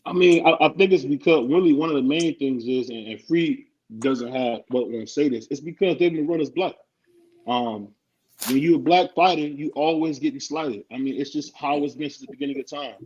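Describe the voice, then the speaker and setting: A man's voice: on the high side, fairly quick, a little mellow, husky. A man co-hosting a boxing talk podcast, arguing and joking in casual chat. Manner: joking, argumentative, laughs often. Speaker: podcast co-host. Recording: dull lo-fi sound.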